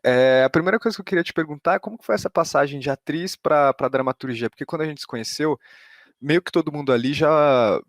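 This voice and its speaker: A man's voice: fairly high pitch, quick pace, no room sound. Casual Brazilian male host, dry close sound. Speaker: podcast host, interviewer. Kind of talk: casual conversational interview.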